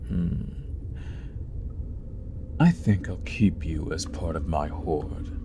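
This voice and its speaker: A man playing an angry, proud dragon hybrid in an ASMR roleplay, speaking deliberately and with contempt, at times breathy. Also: very deep voice, noisy background, heavily acted. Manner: slow, deliberate, contemptuous, breaking into breathy near-whispers. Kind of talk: ASMR roleplay monologue addressed to the listener.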